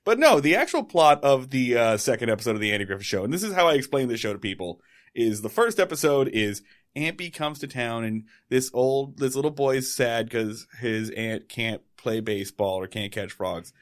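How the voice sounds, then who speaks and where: A man's voice: mid-range voice, expressive, normal speed. A man co-hosting a podcast in casual chat, joking and rambling as he sets up the episode. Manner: joking, rambling, bursts of silly singing. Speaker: podcast co-host.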